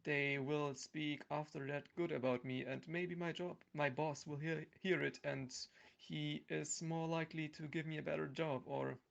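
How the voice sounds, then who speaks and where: A man giving casual interview answers as a podcast guest, halting and hesitant, on a dull lo-fi recording. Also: on the high side, level tone, vocal fry. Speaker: podcast guest, audio play actor. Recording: dull lo-fi sound.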